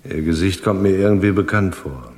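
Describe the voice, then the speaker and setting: A man's voice: deep voice, normal speed, darkish tone, close to an everyday voice. A man playing a dry, murmuring, suspicious nightclub henchman in a 1970s German radio crime drama, recorded with echo. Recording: reverberant, echoey studio room.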